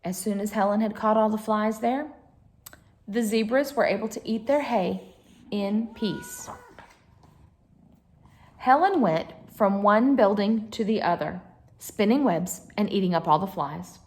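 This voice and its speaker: A woman's voice: mid-range voice, unhurried, long pauses, darkish tone. A woman reading a picture book aloud to children as a storyteller, expressively, with chatty asides to her listeners.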